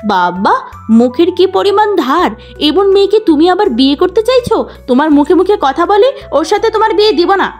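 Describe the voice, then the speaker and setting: A woman's voice: very high-pitched, sing-song, brisk, a little mellow, soft background music, voice pushed up. A woman voicing a haughty, scornful older queen mother in a cartoon fairy tale. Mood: indignant.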